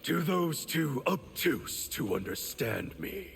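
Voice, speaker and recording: An actor playing a cold, proud, stern ninja warrior in a video game, speaking in a measured way. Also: male voice, on the high side, sing-song, full character voice. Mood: cold, disdainful. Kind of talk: video game fight dialogue. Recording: long hall reverb, clear noise behind.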